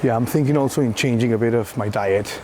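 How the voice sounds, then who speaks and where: Venezuelan-accented man, halting speech. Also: mid-range voice, crowd noise. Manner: halting, searching for words, laughs often. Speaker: guest, co-worker. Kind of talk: casual walking chat. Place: outdoors on a coastal walk.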